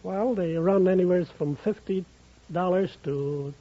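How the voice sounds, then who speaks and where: Guy contestant on old radio quiz, kinda high voice, muffled n noisy, audience behind. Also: measured pace. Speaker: quiz contestant, diamond merchant. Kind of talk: answering questions on a 1950s radio quiz show. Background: studio audience.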